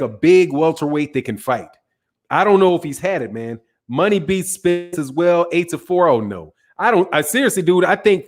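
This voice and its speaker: A man hosting a casual boxing livestream, reading viewer comments and arguing in loose, slangy banter that keeps trailing off and restarting. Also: a little high. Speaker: boxing channel host.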